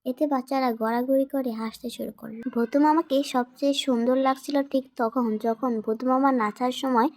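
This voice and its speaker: A woman's voice: sits high, steady tone, normal speed, few pauses, darkish tone. A woman narrating a scripted children's cartoon story as a storyteller.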